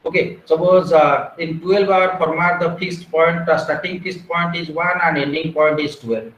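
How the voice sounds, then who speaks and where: A man's voice: a little high, steady tone, normal speed, fairly bright tone. A man, giving an online lecture and explaining step by step with frequent "uh" and "okay". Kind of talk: online lecture on research methods. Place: small room at home. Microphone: wired earphone mic on a video call. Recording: echoey room with faint background noise.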